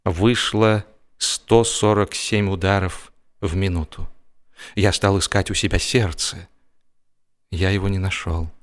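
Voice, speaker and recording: A man narrating a humorous first-person story in a theatrical, expressive audio-drama style. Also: deep voice, measured pace. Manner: expressive, acting out comic hesitations and dialogue. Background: music.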